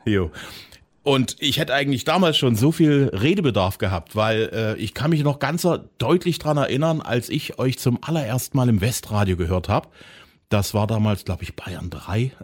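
Chatty middle-aged podcast host. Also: male voice, mid-range voice. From East Germany. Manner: chatty and enthusiastic, reminiscing. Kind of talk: casual interview chat.

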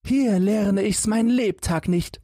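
A man's voice: high voice, takes it slowly, dark tone, husky, no echo, studio quality, voice pushed up, exaggerated voice. A man voicing a fearless, naive and cheerful young lad in a storybook tale, perky and cheeky. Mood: indignant. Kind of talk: storybook character voice.

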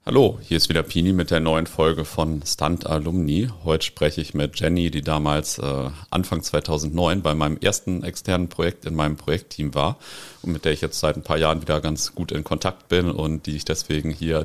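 Low-voiced German man hosting, slightly sick. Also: normal speed. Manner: relaxed, chatty, laughs often. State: has a cold. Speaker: podcast host. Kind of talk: casual podcast interview.

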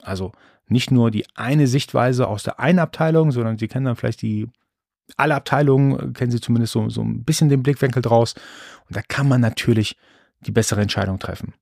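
A man's voice: mid-range voice, fairly quick, creaky, a little mellow, no room sound, studio quality. A man born in Iran, hosting a solo podcast in a casual, rambling monologue with frequent restarts. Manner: chatty, rambling with restarts and fillers. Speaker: podcast host.

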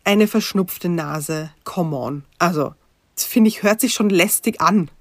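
A woman's voice: on the low side, measured pace, dry recording, studio quality. A woman co-hosting a podcast with a stuffy nose from a cold, chatting casually and telling a story. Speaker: podcast co-host.

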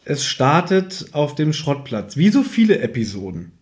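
A man's voice: fairly high pitch, very animated, normal speed, darkish tone. A man hosting a podcast in speech, chatting casually about radio plays in a teasing, nitpicking way. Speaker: podcast host.

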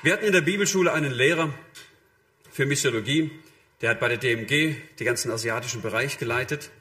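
A man's voice: medium pitch, quick pace, pushed and bright. A man preaching a sermon in a conversational, illustrative way, addressing listeners directly and voicing short imagined quotes.

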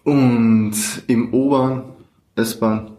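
A man from Iran with a Persian accent speaks halting non-native German, repeating short phrases as he shares his personal experience as a guest. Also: mid-range voice, steady tone, warm tone. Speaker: podcast guest. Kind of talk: personal testimony in conversation. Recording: roomy, echoey sound.

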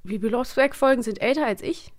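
Higher-voiced, enthusiastic woman podcast host. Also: fairly quick. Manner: enthusiastic, emphatic. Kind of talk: casual chat about radio plays.

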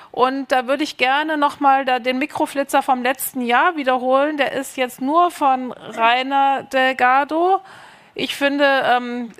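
A woman with a higher voice moderates a panel on stage, introducing pieces and asking questions. She talks in a friendly, reflective way with some fillers. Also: fairly bright tone. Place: panel stage at an arts academy.

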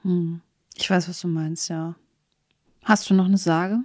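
Female co-host, lowish register, relaxed conversational podcast delivery, dry recording. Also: unhurried, no room sound. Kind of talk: casual chat.

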